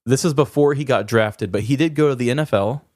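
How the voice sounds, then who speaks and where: A man's voice: mid-range voice, fast talker, a little mellow, no room sound, clean studio sound. A man co-hosting a podcast, telling odd crime stories in casual, conversational, rambling comedic chat. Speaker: podcast co-host.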